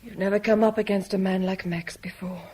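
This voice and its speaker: A woman's voice: medium pitch, steady tone, a little fast, dark tone, slightly rough, lightly acted. A woman playing an enigmatic, proud lover in a radio drama, with a cool, theatrical intensity. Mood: cool, challenging. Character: enigmatic, proud woman caught between two rival men. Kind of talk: 1970s British radio drama acting. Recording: faintly noisy vintage radio broadcast.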